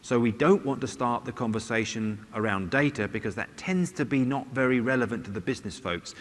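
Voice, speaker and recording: A middle-aged man presents from a large conference stage with a headset mic. His pitch sits mid-range, and his delivery is chatty, with dry jokes and questions to the audience. A long hall reverb trails his words. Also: a little fast. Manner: conversational, with wry asides and rhetorical questions.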